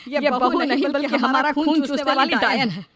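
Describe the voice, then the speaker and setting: A woman's voice: high-pitched, normal speed, a bit bright. A woman voicing an exasperated older mother-in-law in a scripted story, scolding and complaining. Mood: angry, complaining.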